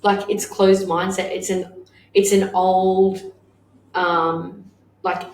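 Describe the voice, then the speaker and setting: A woman's voice: mid-range voice, flat delivery, takes it slowly, darkish tone. Blunt woman in her thirties, echoey room. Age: 30s. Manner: blunt, swears freely, laughs often. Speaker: podcast co-host, business owner. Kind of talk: casual chat. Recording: echoey room with faint noise.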